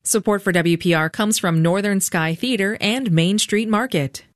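A woman's voice: mid-range voice, normal speed, no room sound, clean studio sound. Female radio announcer reading sponsor copy. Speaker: station announcer. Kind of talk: scripted sponsor reads.

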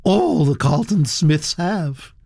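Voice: medium pitch, lively intonation, slow pace, vocal fry, a little mellow, husky, dry recording, pitched above the performer's own voice, exaggerated voice. A narrator playing an imperious, opinionated elderly duchess with a blunt, sputtering, autocratic manner. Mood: bossy disapproval. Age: older.

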